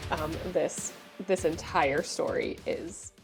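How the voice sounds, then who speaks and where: A woman's voice: low-pitched, very animated, slow, vocal fry, faint music behind. A woman in her late twenties, stuffed up and congested, giving a chatty, sassy book review with plenty of fillers. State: congested from allergies. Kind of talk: casual book review vlog.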